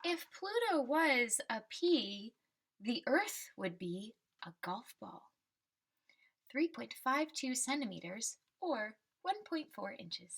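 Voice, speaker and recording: A woman reading a children's picture book aloud and explaining it simply, in a deliberate teaching manner. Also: on the high side, very animated, gravelly, a bit bright, husky.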